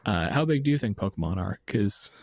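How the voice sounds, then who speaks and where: A man's voice: on the low side, expressive, brisk, gravelly, darkish tone. A man co-hosting a podcast, chatting casually about tabletop games in a blunt, profane, joking way. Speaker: podcast host.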